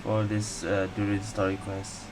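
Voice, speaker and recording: A man's voice: lowish voice, monotone, normal speed. A man giving casual gameplay commentary, musing aloud in short questions and remarks. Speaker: gaming video creator. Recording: loud constant background noise.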